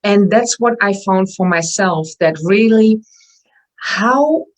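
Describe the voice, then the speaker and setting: A woman's voice: medium pitch, takes it slowly. Woman with a German accent. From northwestern Germany. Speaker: guest, wellness coach. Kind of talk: interview conversation.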